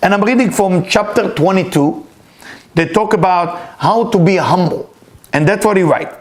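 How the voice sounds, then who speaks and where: A man's voice: sits high, moderate pace. A man, a Hebrew speaker, giving an earnest, emphatic weekly spiritual talk. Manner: earnest, emphatic, repeats key words. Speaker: spiritual teacher.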